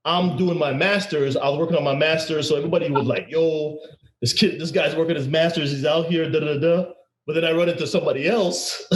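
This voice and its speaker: A man hosting a podcast, slightly higher than usual for a man. He tells stories with energy and repeats his words as he goes. His voice rings in a roomy, echoey space. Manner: energetic storytelling with laughs and repeats. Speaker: podcast host. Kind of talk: casual interview chat. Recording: roomy echo.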